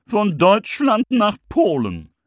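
A conceited stork in a children's audiobook. He clatters and stutters, repeating words, his voice high and leaping all over the place. The room is dry and close. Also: wildly swooping pitch, takes it slowly, no echo, full character voice. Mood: boastful. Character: arrogant stuttering male stork, pompous.

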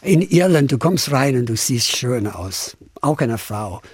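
An older Irish man from Dublin speaks slowly and thoughtfully, his voice husky and a little breathy. He hesitates often, pausing and restarting as he searches for words in a language that is not his first. The recording is dry and close. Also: mid-range voice, big pitch swings, dry recording. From Ireland, Dublin. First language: English. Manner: hesitant, many pauses and slips. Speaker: guest singer-songwriter. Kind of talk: radio interview answers.

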